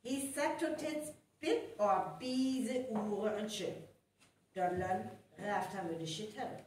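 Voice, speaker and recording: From a distant mic in a very echoey hall comes a woman playing an inquisitive village woman in a live radio play. She speaks slowly and deliberately, with a North Frisian accent, working through her suspicions. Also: mid-range voice, darkish tone, slight background noise, close to an everyday voice. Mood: sleuthing, suspicious. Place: live stage performance venue.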